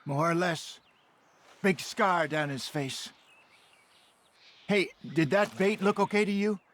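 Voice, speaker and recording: An actor playing an aging American outlaw hunter in a video game, a wry and genial old mentor with a folksy way of talking. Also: male voice, sits high, takes it slowly, fairly bright tone. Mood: uneasy, focused. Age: older. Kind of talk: video game dialogue acting. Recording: game audio with outdoor ambient noise.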